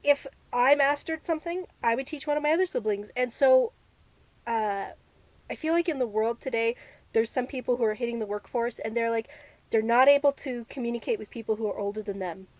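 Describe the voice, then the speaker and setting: A woman's voice: a little high, fairly quick, darkish tone, dry recording. A young woman who was homeschooled, a guest in an interview, talking about growing up in a big family in an earnest, reflective way. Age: young adult. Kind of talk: conversational interview.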